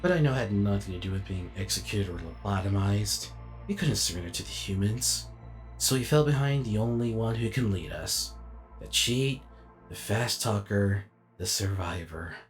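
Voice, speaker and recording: Man playing a low-voiced brooding robot warrior. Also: soft background music, lightly acted. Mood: regretful, conflicted. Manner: brooding, deliberate, formal. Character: weary, reflective male giant alien robot warrior. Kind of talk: dramatic scripted inner monologue.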